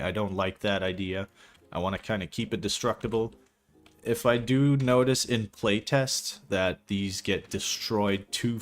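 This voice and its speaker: A young man from Belgium whose first language is not English, chatting casually and rambling, swearing now and then, as he walks viewers through a map he is building. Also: low voice. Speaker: streamer building a game map. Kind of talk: casual livestream commentary.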